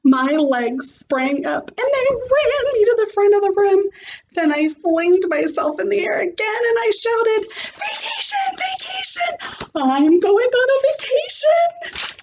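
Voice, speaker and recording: Woman as an excitable, high-pitched little schoolgirl. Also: slow, full character voice. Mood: thrilled. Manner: shouting, exclamatory, emphatic. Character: excitable, boastful, dramatic young schoolgirl. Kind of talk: acted children's storybook reading. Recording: slightly noisy home recording with clicks.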